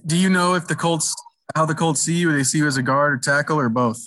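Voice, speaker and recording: A man's voice: fairly high pitch, fast, a bit bright. A man, a sports reporter, asking short, direct questions at a press conference.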